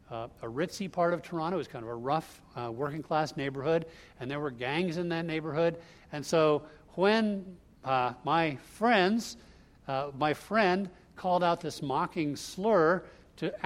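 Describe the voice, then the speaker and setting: A man preaching an expository sermon, explaining scripture line by line in a conversational way. He grew up in the US Northeast. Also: fairly high pitch.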